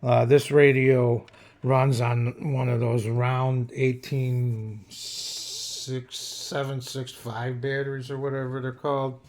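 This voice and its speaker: A man talks through a product review at a slow, unhurried pace. His delivery is casual and unscripted, with a hesitant, plain-spoken manner and a medium-pitched voice. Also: flat delivery, darkish tone. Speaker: radio reviewer.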